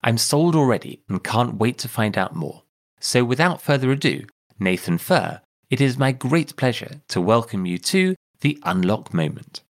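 A man hosting a podcast and coaching clients, reading a scripted introduction in a measured way before interviewing a guest. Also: medium pitch, big pitch swings.

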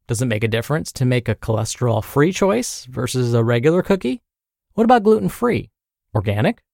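A man narrating a health podcast, reading a blog post aloud as host with clearly phrased, presenter-like delivery. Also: a little high, a little mellow, no room sound, studio quality.

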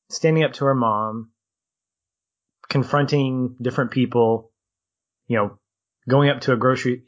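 Man, from Arkansas, casual film talk, animated, middle pitch, slightly muffled audio. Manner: animated, laughs easily, restarts sentences.

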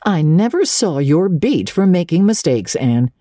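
A woman doing a stern older storybook spinster who meets every fuss with curt, dry remarks. Her voice is low and dark, recorded close and dry. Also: sing-song, unhurried, dry recording.

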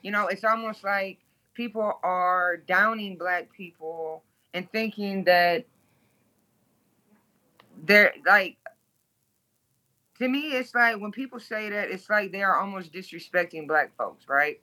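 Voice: medium pitch, slow, long pauses, bright, forceful tone. A guest from Toledo, Ohio, speaking bluntly and candidly in an interview, swearing freely.

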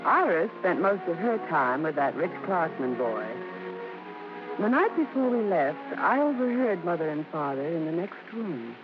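An actor playing a narrator fondly recalling family life in a 1950s radio drama. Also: male voice, fairly high pitch, very animated, moderate pace. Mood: fond reminiscing. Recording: dull old radio recording over music.

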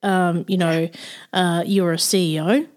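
A woman hosting a podcast, reading a measured introduction and then chatting with her guest. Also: lowish voice, steady tone. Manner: warm, measured intro; loose chat with fillers. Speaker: podcast host. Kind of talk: scripted intro, then interview chat.

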